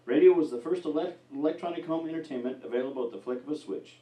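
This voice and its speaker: Dark-voiced man announcing old radio. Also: fairly high pitch, quick pace, roomy sound, rough recording.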